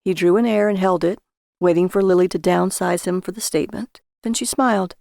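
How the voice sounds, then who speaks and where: A woman's voice: fairly low pitch, fairly even intonation, fairly quick, creaky, a little mellow, dry recording, clean studio sound. A woman reading a short story as a scripted audiobook narrator.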